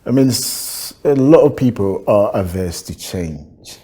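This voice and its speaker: A male host opens the show with measured, scripted introductions and then puts questions to his guests. His voice is mellow and a little husky. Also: fairly low pitch, animated. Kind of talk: presenting and interviewing.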